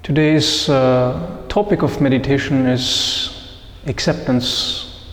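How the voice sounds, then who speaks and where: Slow-paced man in an echoey room. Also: mid-range voice, fairly even intonation. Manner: measured, deliberate phrasing with pauses. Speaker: meditation teacher leading a session. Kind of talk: guided meditation talk to a group. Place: echoey indoor room, live group session. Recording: echoey room with long reverb.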